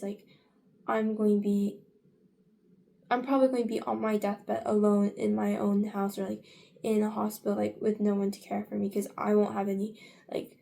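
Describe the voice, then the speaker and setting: A woman's voice: medium pitch, creaky, warm tone. A young woman in her late teens chatting casually in a get-ready-with-me vlog, rambling with many fillers and restarts. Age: young adult. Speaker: vlogger.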